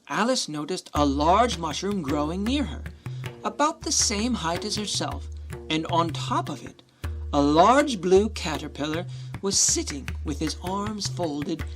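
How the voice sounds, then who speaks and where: A woman narrator reading scripted storybook narration for a radio play. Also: fairly low pitch. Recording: music behind the voice.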